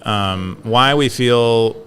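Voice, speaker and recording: A man's voice: medium pitch, drawn-out words, fairly bright tone. A man from California in a casual talk, explaining an idea with many fillers, restarts and hesitations. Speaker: podcast co-host. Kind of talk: casual conversational discussion.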